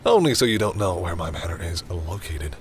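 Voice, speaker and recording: A man playing a commanding vampire in an audio roleplay, haughty and deliberate, menacing yet reluctantly caring toward humans. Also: low voice, sing-song, slight background noise. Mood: negotiating, apologetic. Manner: haughty, deliberate, often drops into hushed brooding. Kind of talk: dramatic audio roleplay addressed to the listener.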